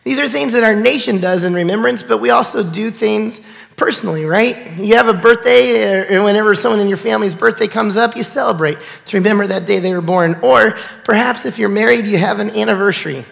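Male pastor, 40s, high gravelly nasal voice, hoarse, chatty sermon delivery, reverberant sanctuary. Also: a little fast, a bit bright. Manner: chatty, conversational preaching with fillers. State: hoarse, recovering from illness. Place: church sanctuary. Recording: church hall with long reverb.

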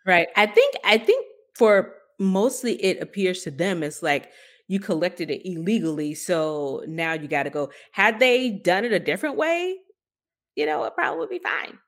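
A woman's voice: lowish voice, very animated, moderate pace. A woman co-hosting a podcast, talking through the news in a casual, conversational way and thinking aloud. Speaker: podcast co-host.

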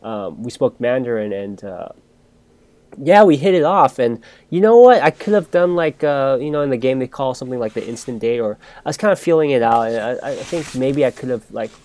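A man's voice: medium pitch, animated, fairly quick, a little mellow, dry recording. Chatty man telling a story. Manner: chatty, restarts sentences often. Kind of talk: casual storytelling chat.

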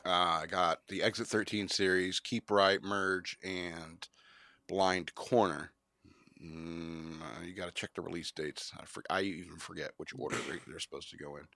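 A man's voice: sits low, steady tone, moderate pace, gravelly, bright, forceful tone, no room sound. A man hosting a podcast, rambling and joking in casual banter. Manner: rambling, jokey, self-promoting. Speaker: podcast host.

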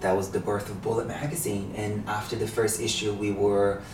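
A man from Brazil, a podcast guest in his twenties telling his story in a relaxed way with many hesitations. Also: lowish voice, normal speed. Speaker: podcast guest, meditation teacher. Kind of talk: casual interview. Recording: echoey, distant mic, faint noise.

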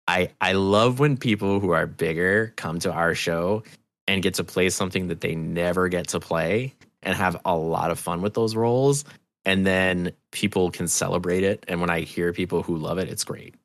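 A man with a low voice and plenty of gravelly fry chats as a guest in a dry, close recording. His talk is loose and enthusiastic. He swears freely and casually. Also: no echo. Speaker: podcast guest, audio drama co-creator. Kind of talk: casual interview chat.

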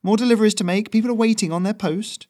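A man's voice: quick pace, a little mellow, close to an everyday voice. A man voicing a cheeky young male courier who rides a messenger goose, talking in a breezy, teasing way. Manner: breezy, teasing, high-spirited.

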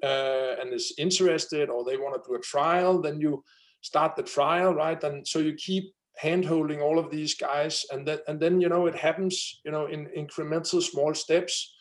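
Danish guy talking fast and excited in an interview, fairly high voice, lots of you knows. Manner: energetic, many fillers and restarts. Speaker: company founder, guest. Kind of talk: conversational interview.

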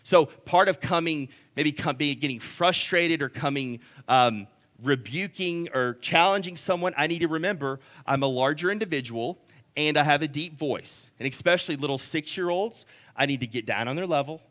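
A man's voice: moderate pace, a bit bright. A man, preaching a conversational sermon that is full of restarts and "right?" checks. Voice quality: deep voice. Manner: conversational, repeats words, frequent "right?". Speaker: pastor.

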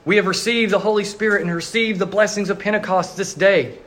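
A man with a notably high voice preaches a sermon as a pastor. He tells the story earnestly and slips into quick voiced asides for the crowd. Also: fairly even intonation, a bit bright.

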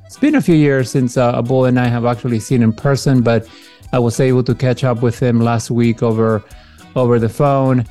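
A man from Peru hosts a casual podcast chat, his voice mellow and dark in tone. He talks haltingly, full of "uh"s and restarts, over a video call with faint guitar music underneath. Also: mid-range voice, fairly even intonation. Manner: hesitant, many fillers and restarts. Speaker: podcast host.

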